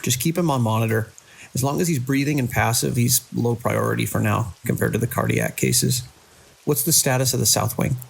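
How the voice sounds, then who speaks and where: An actor playing a controlling male hospital director in an audio drama, brisk and dismissively reassuring as a crisis grows. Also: mid-range voice, big pitch swings, gravelly, husky, heavily acted. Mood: businesslike, reassuring.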